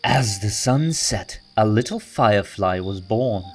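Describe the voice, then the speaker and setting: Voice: medium pitch, animated, measured pace, darkish tone. A narrator reading a children's story aloud from a script, in a storybook style. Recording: music bed under the voice.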